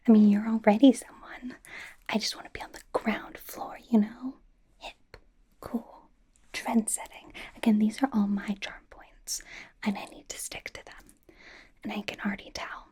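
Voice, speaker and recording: A woman playing a bubbly young female K-pop idol as a doting stylist, whispering slowly and reassuringly in an ASMR roleplay. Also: mid-range voice, fairly even intonation, dark tone. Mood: adoring. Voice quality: breathy whisper. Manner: gushing, reassuring, chatty with trailing repeats. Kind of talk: ASMR roleplay, personal attention.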